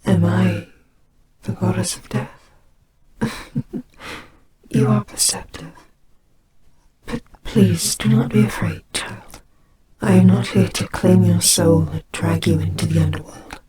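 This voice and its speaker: A woman voicing a gentle, soothing goddess of death in an audio roleplay, speaking softly and breathily with hesitant, reassuring care for a child. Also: on the low side, fairly even intonation, slow pace, pauses often. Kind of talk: slow dramatic audio roleplay. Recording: clearly noisy amateur home recording. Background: ambience sound effects.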